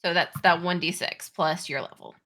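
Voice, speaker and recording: Female game master, informal storyteller and referee, slightly low pitch, crisp bright edge. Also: fairly even intonation, normal speed. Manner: informal phrasing full of "like". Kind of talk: narrating and refereeing a tabletop game.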